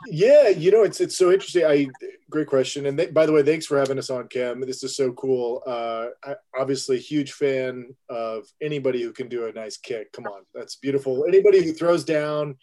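A man's voice: mid-range voice, a little fast, dark tone. A man who is a filmmaker and guest, telling a story with enthusiasm and animation. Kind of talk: casual storytelling in an interview.

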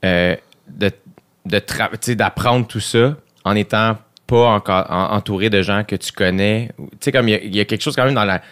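A man with a Québécois French accent hosting a podcast, asking curious, halting questions with restarts. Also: fairly low pitch, fairly bright tone. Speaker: podcast host. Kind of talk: casual interview.

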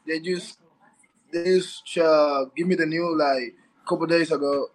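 A man's voice: a little high, steady tone, unhurried, poor audio. A man from Cuba dropping into a podcast for a friendly casual chat, often repeating himself.

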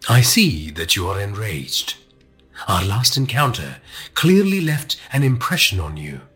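Male narrator as sadistic smooth villain, very husky, unhurried, swinging intonation, menacing, storybook thriller. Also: lowish voice, big pitch swings, a bit bright, soft background music, exaggerated voice. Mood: sadistic taunting. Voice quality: silky, husky. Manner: slow, taunting, menacing. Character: smooth-talking sadistic male villain taunting over a radio.

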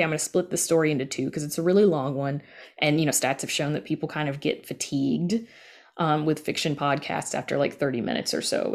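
A woman's voice: low-pitched, a little mellow. A middle-aged woman giving candid, chatty answers as a guest in a casual interview. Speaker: interview guest, podcast creator.

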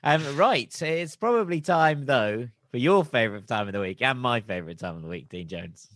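A male podcast host steers the show with friendly, teasing banter aimed at his co-hosts. His voice sits at a middle pitch. The recording is dry and close-miked. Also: expressive, moderate pace, fairly bright tone, no room sound. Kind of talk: casual podcast hosting.

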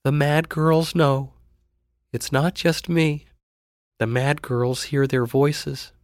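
A man's voice: a little high, level tone, slow pace, no echo, clean studio sound, voice pushed up. A man voicing a frightened, drugged young sister in an audiobook, pleading urgently about a conspiracy. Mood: wistful. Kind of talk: audiobook character acting.

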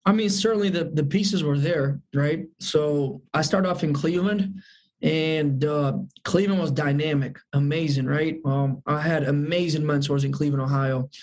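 A man's voice: fairly high pitch, normal speed, a little mellow, poor audio. A man of thirty, a sales manager as guest, talking about his goals in an upbeat, emphatic way and repeating phrases for emphasis. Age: 30s. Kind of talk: casual interview chat.

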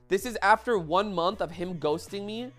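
A man's voice: high voice, moderate pace, faint music behind. A young man hosting a podcast in casual chat, talking loosely and repeating himself into a studio mic. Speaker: podcast host.

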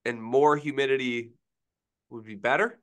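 Man, sports podcast co-host, sick, deadpan, smooth resonant voice, dry close sound. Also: mid-range voice, moderate pace, dry recording. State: sick, feeling rough. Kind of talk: casual sports podcast chat.

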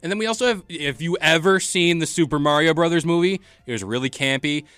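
A man's voice: a little high, fast, fairly bright tone, clean tone, no echo. A man hosting a casual gaming podcast, talking in a slangy, hyped-up way. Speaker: podcast host.

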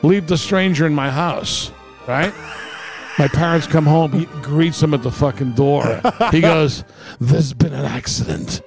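A middle-aged man, telling stories casually on a podcast in a blunt, profane, animated way. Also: fairly high pitch, measured pace, creaky, faint music behind. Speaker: podcast co-host. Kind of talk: casual comic storytelling among friends.

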